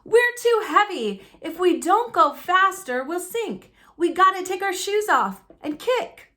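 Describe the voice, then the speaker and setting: Woman acting storybook pioneer girl, high-pitched, commanding, urgent, bossy. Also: animated, measured pace, bright, forceful tone, heavily acted. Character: quick-thinking, bossy pioneer girl, eldest daughter. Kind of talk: storybook character voice.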